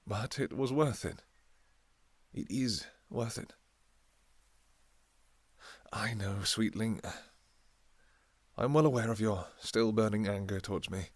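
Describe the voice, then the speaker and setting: Male actor for an ancient vampire king, romantic audio roleplay: low-pitched, unhurried, soft, halting, devoted and sentimental. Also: no room sound. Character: tender, devoted two-thousand-year-old vampire king, doting father.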